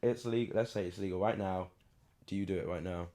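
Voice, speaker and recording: A young man in casual podcast banter, teasing and quick with a joke. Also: sits low, steady tone, dark tone. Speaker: podcast co-host. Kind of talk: casual chat.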